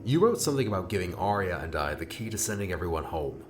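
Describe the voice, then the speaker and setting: A man as a low-voiced, questioning young student. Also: big pitch swings, quick pace, music in the background. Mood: earnest. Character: young male student, earnest, questioning, mildly exasperated.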